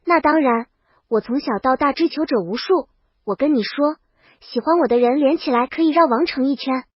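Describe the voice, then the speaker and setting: A woman's voice: high voice, moderate pace, dry recording, lo-fi sound, natural voice. A woman voicing a brash, boastful young princess in an audiobook, bold and casual. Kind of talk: audiobook character dialogue.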